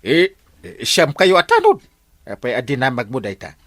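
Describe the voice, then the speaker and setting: A flustered Ilocano village captain in a comedy radio play. He stammers and whines, breaking into wailing exclamations, his pitch leaping wildly. The sound is close and dry. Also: huge pitch swings, normal speed, a bit bright, no room sound. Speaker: comic radio drama actor. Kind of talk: broad comedy radio drama acting.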